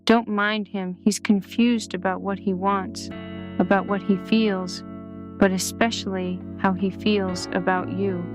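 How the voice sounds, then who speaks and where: A woman playing a calm, wise and candid character in a scripted drama. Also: medium pitch, unhurried, natural voice. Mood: calm, warm. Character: calm, wise, candid Native Alaskan police chief's daughter. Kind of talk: scripted audio drama dialogue. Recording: loud guitar music under the voice.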